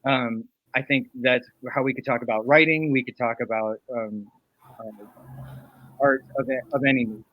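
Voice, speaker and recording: A man's voice: medium pitch, steady tone, normal speed, dry recording. A man talking casually as a panel guest, musing aloud and repeating phrases for emphasis, on a slightly dull recording. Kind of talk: casual discussion of craft.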